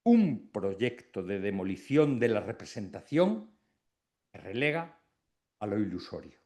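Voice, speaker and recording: A man's voice: on the low side, sing-song, a little mellow. Reflective Spanish man giving formal speech. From Extremadura, Spain. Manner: reflective, with pauses between phrases. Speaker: academic giving an induction speech.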